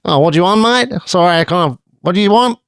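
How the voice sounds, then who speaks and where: A man's voice: high-pitched, expressive, fast talker, gravelly, fairly bright tone, smooth, dry recording, clean studio sound, pitched above the performer's own voice, heavily acted. A man voicing a brusque male pizza shop worker with a put-on Australian accent, who keeps asking what the caller said. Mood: impatient.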